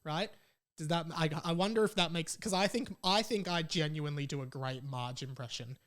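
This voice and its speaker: A man's voice: on the high side, moderate pace, a bit bright. A young adult man with an Australian accent, hosting a casual podcast in a rambling, jokey way. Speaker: podcast host. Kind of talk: casual solo podcast chat.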